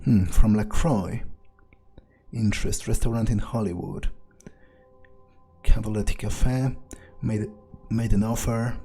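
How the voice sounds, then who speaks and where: A man voicing an arrogant, scheming male vampire in video game roleplay, haughty and deliberate as he thinks aloud. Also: lowish voice, dark tone.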